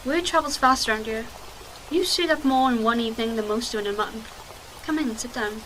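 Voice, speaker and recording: A woman's voice: fairly high pitch, brisk, lightly acted. Woman playing a determined local woman, slightly distant. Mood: welcoming. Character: determined, matter-of-fact local woman researching disappearances. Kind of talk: fan audio drama acting. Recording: distant mic, clear background noise.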